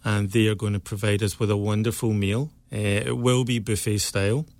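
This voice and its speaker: A middle-aged Scottish man with a somewhat low voice, talking as an interview guest. His manner is earnest and measured, warmly enthusiastic about the work he describes. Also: flat delivery, no room sound. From Scotland. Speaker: guest, cancer survivor and author. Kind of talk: local interview.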